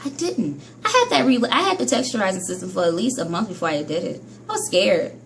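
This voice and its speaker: A woman talks straight to her viewers in a loose, chatty hair-care vlog, often scolding herself. Her voice sits at an everyday middle pitch but keeps jumping high and dropping low. A faint hiss of noise sits under the recording. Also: sing-song, brisk, creaky. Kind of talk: casual vlog monologue to viewers.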